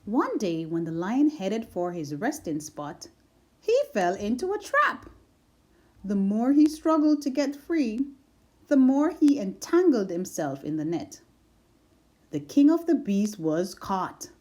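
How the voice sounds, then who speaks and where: A woman reading a children's picture book aloud as storyteller, slow and expressive. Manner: slow and expressive, big pitch swings.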